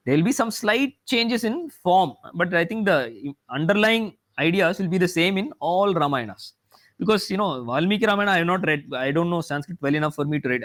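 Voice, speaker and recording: High-voiced man, rambling lecture. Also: a bit bright. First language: Tamil. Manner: rambling, filled with "you know" and restarts. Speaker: mathematics professor giving a talk. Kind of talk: lecture-style talk.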